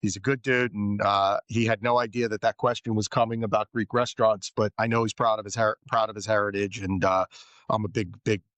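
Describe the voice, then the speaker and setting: A man's voice: lowish voice, little pitch movement, fairly quick, fairly bright tone, dry recording, muffled recording. A man hosting a podcast, chatting casually and enthusiastically with his guest, full of friendly compliments. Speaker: podcast host. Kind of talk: casual interview chat.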